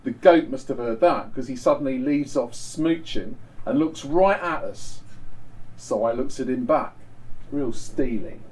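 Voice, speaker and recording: A man's voice: medium pitch, expressive, measured pace, darkish tone, lightly acted, poor audio. A man voicing a cheeky, gossipy young Victorian woman dancer, telling her tale in a colloquial, sardonic, theatrical way as a dramatic monologue. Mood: scornful, sly. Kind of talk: first-person dramatic monologue reading.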